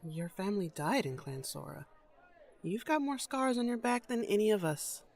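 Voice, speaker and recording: A woman acts a frank, teasing comrade in an audio drama. Her pitch is average, and she speaks to her companion with blunt familiarity. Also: animated, moderate pace, gravelly, darkish tone. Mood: challenging.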